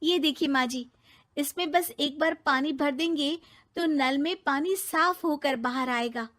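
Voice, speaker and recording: A woman's voice: sits high, measured pace, fairly bright tone, pitched below the performer's own voice. A woman voicing a young, modern daughter-in-law in a cartoon story, earnest and exasperated as she explains things.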